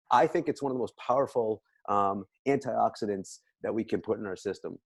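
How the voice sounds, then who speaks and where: A man's voice: on the low side, moderate pace, creaky, husky, dry recording. A man speaking casually and emphatically as he promotes a product, repeating phrases to drive points home.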